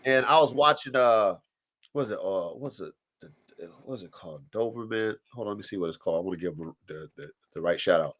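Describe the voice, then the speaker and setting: A man's voice: fairly low pitch, fairly quick. A man with allergies and a stuffed-up voice, hosting a live stream podcast and talking casually about dogs, with plenty of swearing and acted-out quotes. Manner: animated, profane, acts out dogs and owners in quoted voices. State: congested from allergies. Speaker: podcast host. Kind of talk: casual chat. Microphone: live stream mic.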